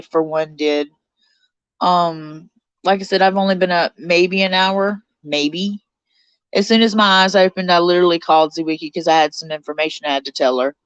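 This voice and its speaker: A woman's voice: medium pitch, smooth, no room sound, muffled recording. A woman in her forties hosting a casual live stream from a cold bedroom, chatty and a little stuffed up from her sinuses, reading out viewer comments. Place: cold bedroom under a blanket.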